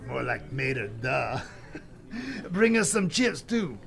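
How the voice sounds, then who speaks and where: A man's voice: medium pitch, sing-song, slow pace, creaky, a bit bright, full character voice. A man playing Death as a brash, glib, freeloading cousin who rambles in bro talk in an audio comedy drama. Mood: breezy, cocky.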